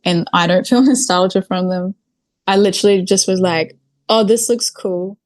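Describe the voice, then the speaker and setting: A woman's voice: mid-range voice, normal speed, a little mellow, no echo. A woman from New Zealand chatting informally as an interview guest, peppering her talk with "like" and "literally". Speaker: podcast guest, video creator. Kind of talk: casual interview chat.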